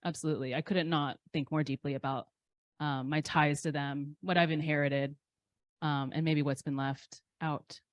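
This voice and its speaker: A woman's voice: low-pitched, fairly even intonation, moderate pace, dry recording, dull audio. A woman in her thirties, a poet answering audience questions in a candid, self-deprecating way with frequent ums. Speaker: poet guest. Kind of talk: casual interview answers.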